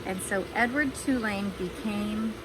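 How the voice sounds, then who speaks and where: A woman's voice: medium pitch, unhurried, background noise. A woman reading a storybook aloud for children, with chatty asides to the listeners. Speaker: storybook reader.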